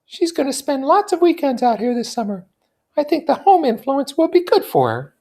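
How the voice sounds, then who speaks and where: A man's voice: very high voice, big pitch swings, normal speed, mellow, voice pushed up, heavily acted. A man voicing a dramatic, cynical and flighty young society woman in a theatrical, gushing way from a classic novel. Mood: breezy, teasing.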